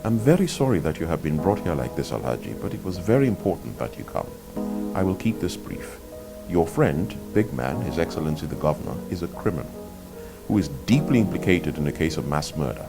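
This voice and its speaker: A man voicing an unseen abductor in a novel, formal, measured and coldly threatening. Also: rumbling low, very animated, vocal fry, music in the background, heavily acted. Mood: cold, controlled.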